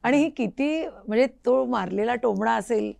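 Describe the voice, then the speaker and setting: A woman's voice: fairly high pitch, normal speed, creaky, darkish tone, no echo. A woman, hosting a casual interview in an attentive, agreeable manner. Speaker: interview host. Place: recording studio.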